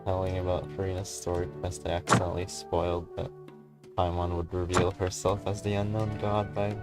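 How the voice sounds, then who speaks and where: A woman's voice: extremely low pitch, flat delivery, fairly quick, loud music behind the voice. A woman co-hosting a game stream in casual chat. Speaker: stream co-host.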